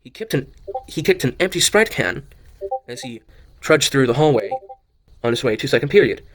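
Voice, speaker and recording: A man's voice: medium pitch, big pitch swings, moderate pace, fairly bright tone. Guy narrating his fanfic audio drama, kinda scuffed, keeps fumbling words and fixing them. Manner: stumbles over lines and corrects himself. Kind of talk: amateur reading of fan fiction narration.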